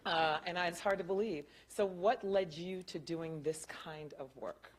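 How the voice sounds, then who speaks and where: A middle-aged woman hosts an onstage chat in an event room, her low voice easy and conversational. She laughs at herself and fills gaps with "um, you know." The room mic catches her a little from afar. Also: measured pace. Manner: chatty, laughing, self-deprecating asides. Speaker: moderator of a panel conversation. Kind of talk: casual onstage interview. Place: event room before an audience. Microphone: handheld mic, at first off and from far away. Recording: distant, quiet pickup from across the room.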